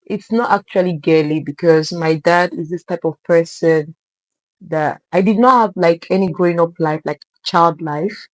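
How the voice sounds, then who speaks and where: A woman's voice: lowish voice, normal speed, no echo, rough recording. A woman from Lagos with a Nigerian accent, telling personal stories as an interview guest and repeating phrases for emphasis. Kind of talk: conversational personal storytelling.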